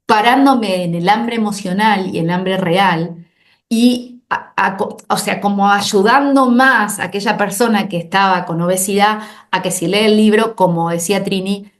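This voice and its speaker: A woman from Argentina with an Argentine Spanish accent, a doctor guest on a podcast, explaining earnestly and often repeating words as she talks. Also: mid-range voice. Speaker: obesity specialist doctor, guest. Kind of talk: conversational podcast interview.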